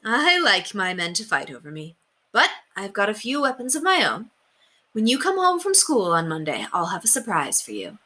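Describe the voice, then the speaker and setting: A woman's voice: mid-range voice, huge pitch swings, moderate pace, pushed and bright, voice pushed up, full character voice. Woman reading as an assured, teasing housekeeper. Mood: playful. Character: affectionate, playfully firm English housekeeper woman. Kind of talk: children's storybook read-aloud.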